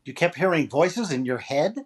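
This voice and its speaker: A man's voice: on the high side, expressive, moderate pace, husky. Wisecracking male comedy star, acted by a man: vain, plaintive, wry, 1940s radio comedy timing. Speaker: actor in a radio comedy recreation.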